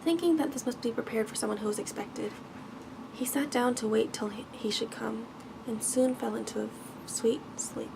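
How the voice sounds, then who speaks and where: A soft-spoken woman reads a bedtime story in a gentle, careful ASMR style, often dropping toward a whisper. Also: a little high, moderate pace, creaky, noisy background. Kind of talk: ASMR bedtime story reading, scripted narration.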